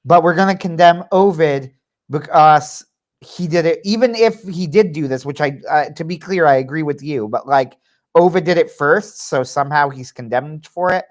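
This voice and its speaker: A man's voice: a little high, moderate pace. A man hosting a livestream, chatting casually in an earnest, opinionated way with a wry edge. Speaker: livestream host.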